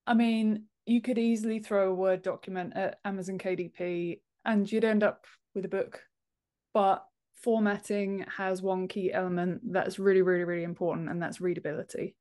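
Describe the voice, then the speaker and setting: A woman's voice: mid-range voice, flat delivery, moderate pace, a little mellow. A woman in her thirties speaking as an interview guest, informal and emphatic, on a video call. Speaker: podcast guest, author and book formatter. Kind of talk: casual interview explanation. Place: home office room, indoors. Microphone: earphone headset on a video call.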